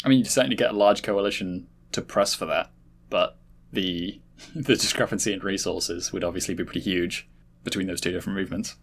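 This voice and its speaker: A man in a casual intellectual discussion, analytical, halting as he searches for words. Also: deep voice, fairly even intonation, fairly bright tone.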